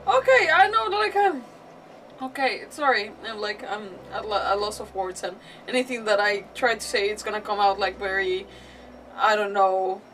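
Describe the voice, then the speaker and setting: A woman streaming a game with casual, emotional reactions, halting as she searches for words. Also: medium pitch, a bit bright, distant mic, slight background noise, low-quality recording. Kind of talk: casual live gameplay reaction commentary.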